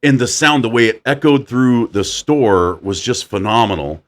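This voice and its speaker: A man with a deep voice hosting a casual chat, animated as he tells stories and acts out quotes. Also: moderate pace. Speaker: podcast host.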